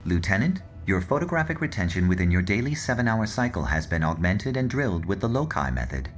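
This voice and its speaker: A deep-voiced formal military officer. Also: male voice, very animated, a little fast, faint music behind.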